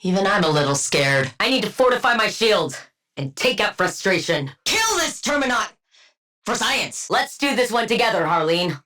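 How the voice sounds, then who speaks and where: Casting: female game villain, genius scientist; clinical, condescending, sardonic, very animated intonation. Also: fairly low pitch. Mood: wry, brisk, then sharp, commanding. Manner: precise, clinical, condescending, dry wit. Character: brilliant, arrogant, sardonic woman supervillain scientist. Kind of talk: video game character voice lines.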